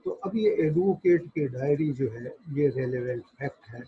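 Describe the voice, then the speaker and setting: A man with a Pakistani accent giving an explanatory law lecture, reading passages out deliberately, phrase by phrase, and explaining them. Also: medium pitch, breathy edge. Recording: dull, lo-fi audio.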